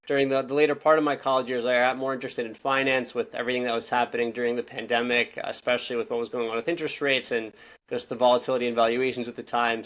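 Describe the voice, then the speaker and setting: A young man in his twenties, originally from Israel, talking as a podcast guest. He speaks quickly in a loose, rambling way, often circling back to correct himself. His pitch sits in a middle range. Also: fairly bright tone. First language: Russian. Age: 20s. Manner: fast, rambling, self-correcting. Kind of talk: casual interview talk.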